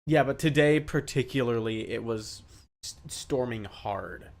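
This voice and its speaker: A man's voice: medium pitch, measured pace, gravelly, a little mellow. A man chatting casually on a live game stream.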